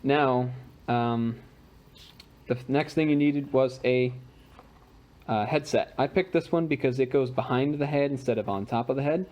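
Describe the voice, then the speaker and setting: A man's voice: medium pitch, moderate pace, long pauses, darkish tone. A man explaining and demonstrating a homemade gadget in an informal, unpolished, conversational way, like a hobbyist.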